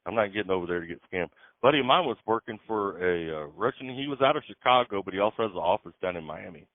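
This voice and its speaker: A man's voice: deep voice, animated, quick pace, dry recording. A man, a truck driver, talking casually on a phone call in a laid-back, plain-spoken way. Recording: tinny phone line.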